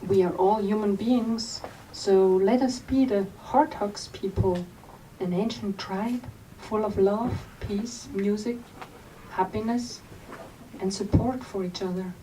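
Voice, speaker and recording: A woman from Switzerland, reading aloud from her memoir at a bookstore event in a gentle, unhurried storytelling manner. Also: mid-range voice. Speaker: author. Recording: distant mic with faint room noise.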